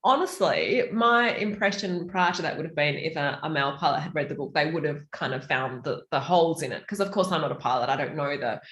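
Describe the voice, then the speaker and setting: Low-voiced Australian woman author. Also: fairly quick, few pauses, echoey room. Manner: chatty, animated, many fillers. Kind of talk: virtual panel conversation.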